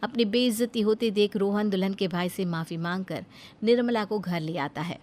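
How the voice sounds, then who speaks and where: A woman narrating a cartoon bedtime story in an even, storytelling delivery. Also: medium pitch, moderate pace, a little hiss or hum.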